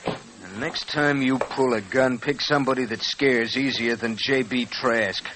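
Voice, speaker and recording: An actor playing a tough, commanding, self-assured saloon boss in an old Western radio drama. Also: male voice, mid-range voice, unhurried, natural voice. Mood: threatening. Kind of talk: 1940s Western radio acting. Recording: noisy old radio transcription.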